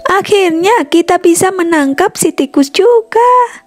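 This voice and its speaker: A woman's voice: very high voice, expressive, unhurried, voice pushed up. A woman voicing a curious, eager young grandchild in a children's storybook. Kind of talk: storybook character voice. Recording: music behind the voice.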